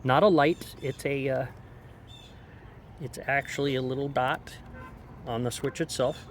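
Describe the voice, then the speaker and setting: A man's voice: mid-range voice, steady tone, unhurried. Casual man reviewing gear, noisy outdoor recording. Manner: informal, explanatory. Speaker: product reviewer. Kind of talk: casual hands-on gadget review. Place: outdoors on a back deck. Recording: outdoor background noise.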